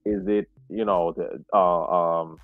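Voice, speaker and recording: An American man co-hosting a sports podcast, giving casual, measured analysis point by point over thin, phone-like audio. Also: low-pitched, steady tone, no room sound.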